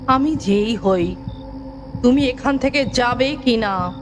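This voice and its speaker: A woman playing the sorrowful, determined ghost of a dead mother in a horror audio story, slow, solemn and insistent. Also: on the high side, music in the background. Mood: stern.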